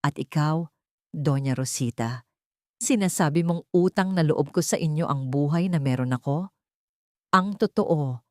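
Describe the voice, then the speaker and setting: A woman's voice: fairly low pitch, darkish tone, dry recording, clean studio sound. A woman voicing a wronged wife in a dramatic story, defiant and accusing, speaking with emphatic bitterness.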